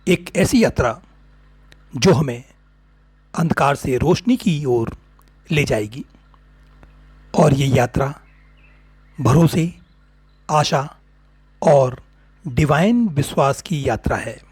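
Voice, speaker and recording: A man with an Indian accent narrating a guided meditation in short, deliberate phrases with long pauses. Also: mid-range voice, expressive, a little mellow, breathy edge, dry recording.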